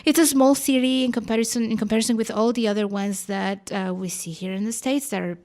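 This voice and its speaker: Gravelly-edged woman with a Costa Rican accent. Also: a little high, steady tone, fairly quick, darkish tone. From Costa Rica. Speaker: librarian, interview guest. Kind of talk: conversational radio interview.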